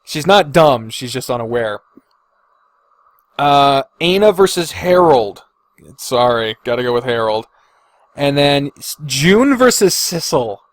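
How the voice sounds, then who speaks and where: A man talks unhurriedly as a solo podcast host, close and dry with no room sound. He deliberates out loud, hesitant and casual, and swears freely as he goes. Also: mid-range voice, big pitch swings, no echo. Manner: hesitant and deliberating, sighing, profane. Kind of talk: casual solo podcast commentary, thinking aloud.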